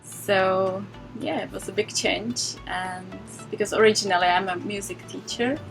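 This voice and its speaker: Young woman, soft and short phrases, english, faint music behind. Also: mid-range voice, steady tone, moderate pace, vocal fry, poor audio. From Czech Republic. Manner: soft-spoken, short phrases, light laughs. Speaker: guest, music teacher turned property investor. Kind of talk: casual interview chat.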